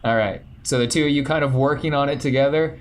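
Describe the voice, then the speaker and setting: A man running a tabletop role-playing game, describing the scene to the players in a relaxed way. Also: medium pitch, fast talker, a little hiss or hum. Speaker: game master.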